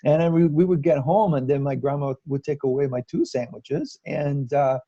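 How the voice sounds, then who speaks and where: A man, telling a personal story in a reflective way with many pauses. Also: a little high, fairly even intonation, warm tone, no echo, lo-fi sound. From Cuba. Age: 50s.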